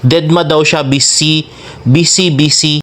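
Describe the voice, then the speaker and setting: A man's voice: a little high, fairly even intonation, a little slow, fairly bright tone. A man with a Filipino accent hosts a fan vlog, giving casual, teasing and jokey celebrity gossip commentary in mixed Tagalog and English. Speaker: celebrity fan vlogger and host.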